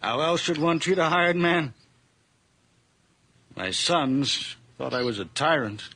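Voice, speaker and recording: An actor playing a kind, hesitant older farmer in a radio drama, gentle and fond of his hired man. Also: a little high, lively intonation, takes it slowly, heavily acted. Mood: kindly. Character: kindly aging male farmer, employer. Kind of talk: 1980s radio drama acting. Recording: vintage radio broadcast with faint noise.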